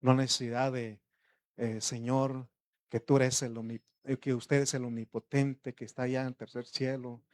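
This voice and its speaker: Conversational preacher, rhetorical questions. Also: male voice, medium pitch, flat delivery, normal speed, a little mellow. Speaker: pastor. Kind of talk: informal sermon.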